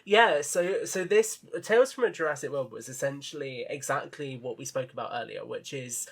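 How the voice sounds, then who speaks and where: A man's voice: medium pitch, moderate pace, gravelly. A man talking as a podcast guest, explaining his ideas earnestly and with enthusiasm in casual conversation. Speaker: podcast guest and audio drama creator.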